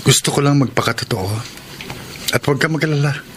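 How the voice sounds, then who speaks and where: Sincere Filipino gentleman suitor played by an actor. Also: medium pitch, a little hiss or hum, lightly acted. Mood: earnest, tender. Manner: sincere, deliberate. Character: kind, sincere older suitor confessing love to his housemaid. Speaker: radio drama actor. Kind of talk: Filipino radio drama acting.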